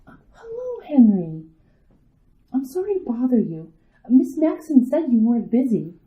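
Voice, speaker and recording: A woman's voice: a little high, sing-song, a little slow, dull audio. A woman playing an anxious mother in a radio drama, who stammers and trails off nervously.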